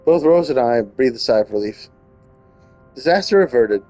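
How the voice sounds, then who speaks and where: Mid-pitched man playing through a game. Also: animated, moderate pace, darkish tone, soft background music. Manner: stumbles and rereads while reading aloud. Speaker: gaming streamer. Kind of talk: casual game commentary and reading aloud.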